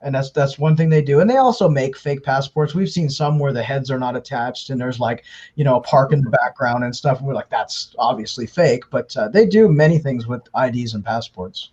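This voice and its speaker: A man's voice: mid-range voice, fairly quick, hardly any pauses, a little mellow, husky. A man speaking as an interview guest, explaining things conversationally at length with fillers and restarts. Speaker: interview guest, scam baiter. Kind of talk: casual interview talk. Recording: dull lo-fi audio.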